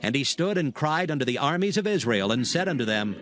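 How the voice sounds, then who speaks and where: A man's voice: mid-range voice, big pitch swings, brisk, a bit bright, faint music behind. A man narrating scripture as a scripted dramatic audiobook.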